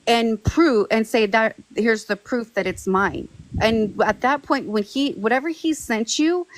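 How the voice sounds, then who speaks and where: A woman's voice: a little high, no echo, low-quality recording. A woman on a live-stream discussion, making firm, pointed arguments and giving instructions.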